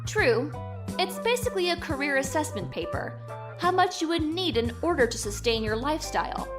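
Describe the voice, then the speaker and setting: A woman's voice: sits high, big pitch swings, moderate pace, music in the background. An actress playing a studious teenage girl who scolds and teases a classmate dryly in an audio drama. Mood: dry teasing. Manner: dry, teasing, matter-of-fact.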